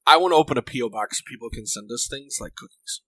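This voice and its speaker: A man's voice: mid-range voice, lively intonation, a bit bright, slightly rough, no echo. A man co-hosting a casual podcast, chiming in with joking asides. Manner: joking, chimes in with quick asides. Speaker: podcast co-host. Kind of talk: casual chat.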